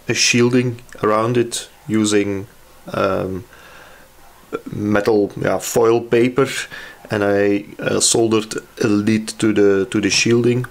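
Low-pitched man talking through a repair. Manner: hesitant, thinking aloud. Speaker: hobby radio restorer presenting a repair. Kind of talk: casual hands-on repair walkthrough.

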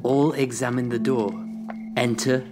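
A man gives scripted narration for an audio play. He is formal and unhurried, with a medium pitch, and loud music plays throughout. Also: fairly even intonation. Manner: formal, measured. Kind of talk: scripted stage-direction narration.

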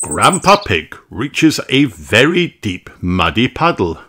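A man reading a children's storybook aloud in an expressive narration. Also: on the low side, slow. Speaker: storybook reader.

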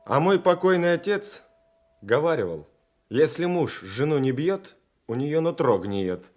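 An actor playing a brash, joking village man in a radio drama, with a teasing, animated delivery. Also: on the high side, a little slow. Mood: mocking.

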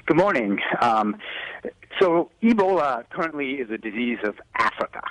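A man's voice: mid-range voice, big pitch swings, normal speed, a bit bright, no echo, low-quality recording. A man speaking as a doctor in a live telephone news interview, explaining health advice and often saying "you know". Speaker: physician guest expert on a news segment. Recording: telephone interview audio on TV broadcast.

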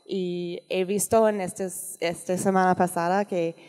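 A woman with a Canadian English accent speaks slow, halting Spanish at a panel table, pausing to find her words. Her voice sits a bit low. The sound carries the long reverb of a large conference hall. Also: flat delivery, darkish tone, clear, resonant tone. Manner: halting non-native Spanish, searching for words. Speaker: guest speaker. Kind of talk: panel talk. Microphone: table microphone.

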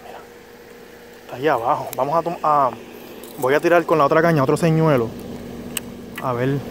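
A young man, filming himself fishing by a pond and commenting casually, muttering to himself with sudden excited bursts. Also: fairly high pitch, normal speed. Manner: excitable bursts when a fish strikes, otherwise quiet muttering. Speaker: angler filming his fishing. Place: outdoors by a small pond among trees. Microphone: head or chest-mounted action camera. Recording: outdoor camera audio with faint background noise.

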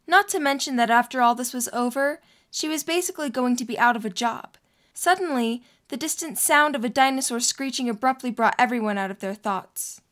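Woman narrator with a fairly high voice. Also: fairly quick, a bit bright. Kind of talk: scripted story narration.

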